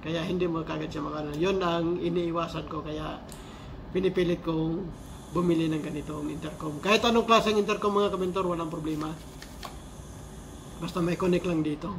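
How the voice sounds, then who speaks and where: A man giving a casual vlog-style gear review in a halting, explanatory way, repeating key words. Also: a little high, steady tone. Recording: clearly noisy amateur recording.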